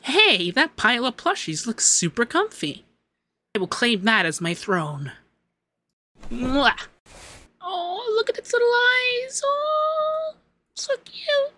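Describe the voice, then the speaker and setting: A man voicing a haughty young femboy demon lord, pompous but easily flustered and secretly soft, in an ASMR roleplay. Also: extremely high pitch, big pitch swings, takes it slowly, long pauses, full character voice. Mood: gushing. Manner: pompous commands that slip into flustered cooing.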